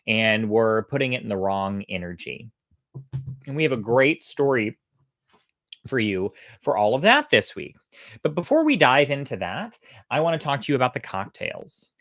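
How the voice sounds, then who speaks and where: A storytelling host with a lively, varied pitch and a close, dry sound. The delivery is conversational and thoughtful, moving between casual explanation and expressive storybook narration. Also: no room sound.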